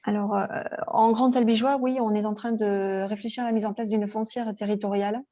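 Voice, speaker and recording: A woman's voice: medium pitch, fairly even intonation, brisk, warm tone. A woman answering as a conference panelist, hesitant and searching for words, on slightly muffled audio. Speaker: local government panel speaker.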